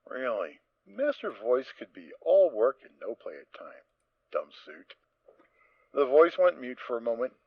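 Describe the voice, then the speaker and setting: A man narrating a dramatic reading of a story, steady storytelling with occasional stumbles. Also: mid-range voice, unhurried, vocal fry, dry recording. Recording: dull lo-fi amateur mic with soft music.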